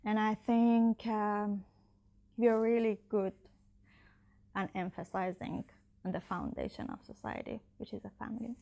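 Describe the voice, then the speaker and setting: A woman's voice: medium pitch, big pitch swings, a little slow, gravelly, warm tone. A young woman candidate answering interview questions in English, not her first language, with many fillers and restarts. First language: not Icelandic. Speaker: election candidate.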